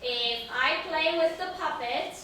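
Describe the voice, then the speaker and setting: Woman, teacher, high-pitched, slow deliberate pace, simple questions, encouraging, distant mic. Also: bright, forceful tone. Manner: simple instructional phrasing with questions and repetition; encouraging, praising answers. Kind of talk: English lesson to a young class. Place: echoey classroom, mic at a distance. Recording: very echoey room, distant mic, faint noise.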